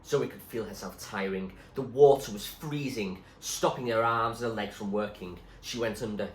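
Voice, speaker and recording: A man reading a story aloud in an expressive, suspenseful narrating style. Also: fairly low pitch, moderate pace. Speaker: reader, teacher. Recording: echoey room with faint background noise.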